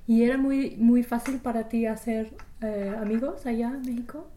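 A woman interviewer asking steady questions and giving frequent soft backchannel sounds while she listens. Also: on the high side, moderate pace.

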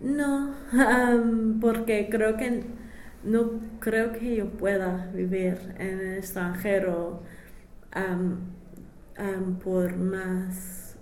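A young woman with as her gives informal interview answers in a slow, hesitant way, feeling for the right words. Her pitch moves little and the room adds noticeable echo over faint noise. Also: little pitch movement, darkish tone. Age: young adult. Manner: hesitant non-native speech, searching for words. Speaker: interviewee, language student.

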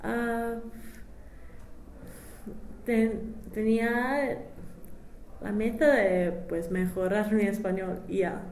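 A young woman with as her gives informal interview answers in a slow, hesitant way, feeling for the right words. Her pitch moves little and the room adds noticeable echo over faint noise. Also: fairly even intonation, darkish tone. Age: young adult. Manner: hesitant non-native speech, searching for words. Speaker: interviewee, language student.

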